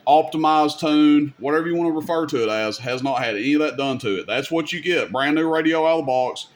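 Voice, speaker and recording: A man hosting a casual, hands-on technical demonstration, speaking informally and directly to viewers in a folksy way. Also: a little high. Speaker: video host demonstrating CB radio gear.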